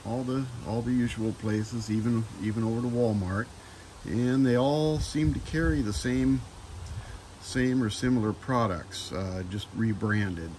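A man's voice: mid-range voice, measured pace, a little mellow, slight background noise, rough recording. A middle-aged man casually reviewing trailer wiring outdoors in a driveway, rambling with many fillers and restarts, filmed on a handheld phone. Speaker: DIY video maker.

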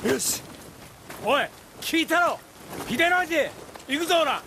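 High-voiced eager scrappy samurai general. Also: male voice, a little slow, slight background noise, heavily acted. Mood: excited, fawning. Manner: animated, ingratiating toward his lord, brash with companions. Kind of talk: dramatic Japanese video game acting. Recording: loud music behind.

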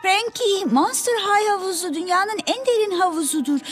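A woman's voice: extremely high pitch, moderate pace, faint music behind. A woman voicing a cautious, brainy teenage monster girl in a cartoon dub. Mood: concerned. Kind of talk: animated cartoon dubbing.

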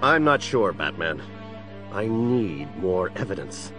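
An actor playing a tormented district attorney cracking into a villain, brooding and theatrical, in a superhero audio drama. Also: male voice, low-pitched, big pitch swings, slow pace, over music, exaggerated voice. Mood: bitter, urgent. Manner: brooding, theatrical, dragging out words. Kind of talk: full-cast superhero audio drama acting.

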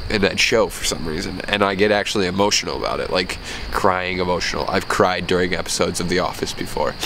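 A man's voice: low-pitched, fairly bright tone, a little hiss or hum, poor audio. A young man in his twenties with a stuffed-up nose, talking earnestly and rambling into a handheld camera outdoors at night. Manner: reflective, earnest, rambling with restarts. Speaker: vlogger. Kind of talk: casual vlog talk to camera. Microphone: handheld camera at arm's length. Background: crickets.

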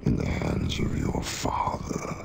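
A man playing an ancient, menacing dark lord in a video game, grave and commanding, with a gravelly rumbling voice. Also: background noise, close to an everyday voice. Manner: slow, grave, portentous. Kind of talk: cinematic villain acting in game cutscene.

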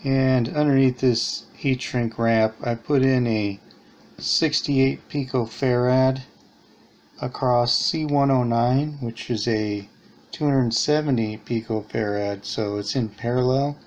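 A man's voice: medium pitch, steady tone, takes it slowly, darkish tone. A man giving a casual hands-on repair demonstration at a workbench, thinking aloud a little hesitantly. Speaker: electronics repair hobbyist, host. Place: indoors at a workbench.

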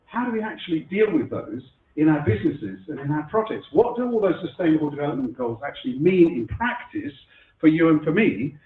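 A middle-aged man with a husky voice from a cold, giving a chatty conference keynote in a lecture room, with many fillers and restarts. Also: fairly high pitch, very animated, fairly quick, a little hiss or hum. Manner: chatty, self-deprecating, frequent fillers and restarts. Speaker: keynote speaker. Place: lecture room before a live audience. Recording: echoey room over a phone-like line.

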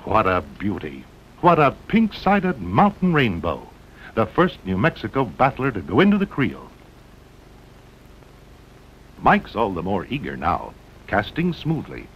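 An American man narrates a vintage sixties outdoor film with very animated, swooping delivery and dramatic short phrases. His voice sits fairly low. The soundtrack is dull and old, with a faint rumbling noise beneath it. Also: measured pace, long pauses. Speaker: documentary narrator. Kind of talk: 1960s promotional film narration.

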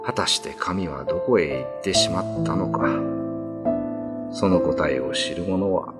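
An actor narrating a tragic old ghost tale for a radio drama in a solemn, deliberate manner. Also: male voice, deep voice. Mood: solemn, mournful. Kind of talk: slow, dramatic scripted narration. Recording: loud piano music under the voice. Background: piano music.

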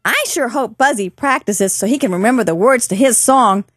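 A woman's voice: on the high side, normal speed, breathy edge, no room sound, clean studio sound, soft background music. A woman voicing a perky, bossy little girl puppet, speaking singsong to children in a puppet show. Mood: concerned, scolding. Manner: singsong, addressing children directly.

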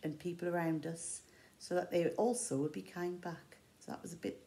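A woman's voice: fairly low pitch, steady tone, moderate pace, mellow. A middle-aged woman with an English accent reads a picture book aloud to young children, expressively, explaining words simply as a teacher does. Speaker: infant school teacher. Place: indoor room with large windows. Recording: roomy echo.

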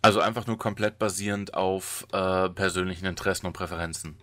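A man's voice: sits low, little pitch movement, fairly quick, a bit bright, no echo, clean studio sound. A man hosting a casual podcast, asking questions in an informal, jokey way. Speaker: podcast host.

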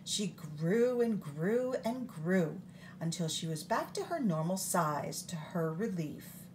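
A woman reading a picture book aloud for storytime, her voice rising and falling expressively. She takes it slowly and deliberately, recorded close on a phone above the page. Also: lowish voice, very animated, darkish tone, slight background noise.

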